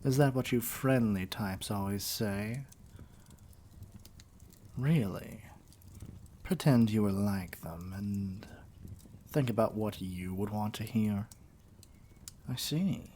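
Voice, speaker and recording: A man's voice: on the low side, slow, long pauses, dark tone, slight background noise. A man playing a vain, scheming evil sorcerer king in an ASMR roleplay, with a pompous, condescending drawl. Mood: curious, pondering.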